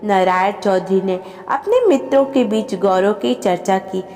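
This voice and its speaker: A woman's voice: medium pitch, unhurried, a little mellow, big-room sound, faint music behind. A woman narrating a scripted family story as a storyteller.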